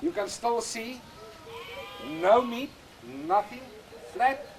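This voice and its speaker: An older man with an Afrikaans accent, whose first language is Afrikaans, talking plainly and repetitively in halting English as a goat breeder outdoors on a farm. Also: very high-pitched, slight background noise, poor audio. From South Africa. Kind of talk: casual explanation on a farm.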